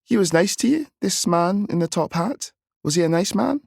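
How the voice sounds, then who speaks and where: A man voicing a brisk, teasing young aunt, raising his pitch for her. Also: expressive, creaky, darkish tone, dry recording, clean studio sound, exaggerated voice. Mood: questioning. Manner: brisk, scolding.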